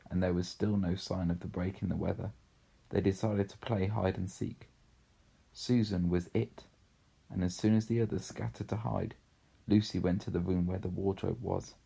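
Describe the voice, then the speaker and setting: A man's voice: deep voice, vocal fry, mellow, breathy edge. A man narrating a children's storybook. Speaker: story reader.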